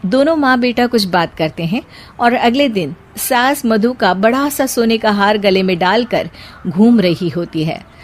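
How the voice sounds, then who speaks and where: A woman's voice: mid-range voice, lively intonation, a little slow. A woman narrating a scripted family story for a cartoon.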